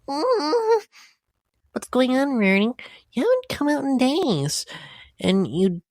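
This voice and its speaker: A woman's voice: high-pitched, sing-song, slow pace, a little mellow, no room sound, clean studio sound, full character voice. Woman as earnest, concerned young pony mare. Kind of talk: horror dramatic reading.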